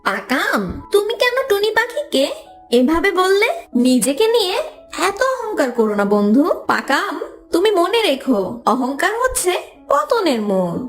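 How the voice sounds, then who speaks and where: Woman as an earnest, very high-pitched cartoon mango. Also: very animated, normal speed, soft background music. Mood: reproachful. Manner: earnest, admonishing. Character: gentle, moralizing young green mango. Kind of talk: children's cartoon voice acting.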